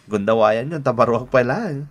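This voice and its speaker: A man's voice: mid-range voice, animated, takes it slowly, dull audio. A man with an Ilocano Filipino accent playing a kind, dutiful young man in a radio drama. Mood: happy, affectionate.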